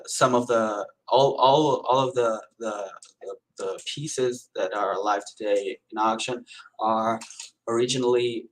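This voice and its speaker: A Brazilian painter with a Portuguese accent, speaking hesitantly with many fillers in a live-stream interview. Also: male voice, fairly low pitch, rough recording. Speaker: painter guest.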